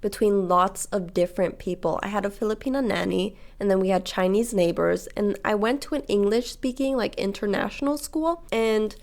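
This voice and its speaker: A woman's voice: mid-range voice, normal speed, creaky, few pauses, a little mellow. A nineteen-year-old woman from Sweden who grew up in Hong Kong, chatting casually and rambling over gameplay as she talks straight to her viewers. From Sweden, raised in Hong Kong. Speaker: gaming video creator.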